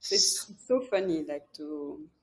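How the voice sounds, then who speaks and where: A young woman from Belgium with a Belgian French accent, a native French speaker, talking as a psychiatrist guest on a podcast, softly and with many pauses. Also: deep voice, animated, poor audio. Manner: soft-spoken, searching for words with frequent "uh"; laughs lightly while speaking. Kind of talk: casual interview talk.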